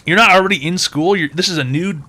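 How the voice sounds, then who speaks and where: A man's voice: high voice, fast, sharp tone. A man hosting a call-in advice show, brash and mocking with crude, profane jokes.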